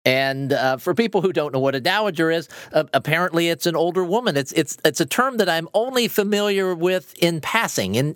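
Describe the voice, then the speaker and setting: A woman's voice: low voice, no echo. Friendly host woman, dry close sound. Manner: friendly, laughs easily. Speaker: podcast host. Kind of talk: podcast interview.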